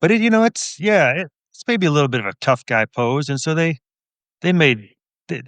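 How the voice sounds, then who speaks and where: A man's voice: on the high side, animated, brisk, a bit bright, no echo. Wry middle-aged man, dry close recording. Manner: thoughtful, self-deprecating, wry. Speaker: podcast guest, police officer and author. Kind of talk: casual interview chat.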